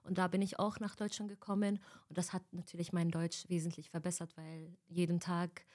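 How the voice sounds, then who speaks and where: A woman's voice: lowish voice, level tone, a little fast, a little mellow. Chatty young woman from Egypt. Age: 20s. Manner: chatty, many fillers, laughs often. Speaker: interviewee, student. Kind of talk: casual interview chat.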